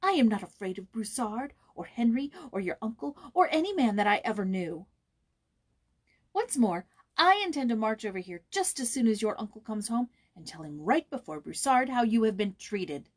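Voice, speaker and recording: A woman voicing a spirited, motherly girl in a storybook, earnest and emphatic, quick to indignation on a friend's behalf. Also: fairly high pitch, sing-song, slightly rough. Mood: indignant, bold.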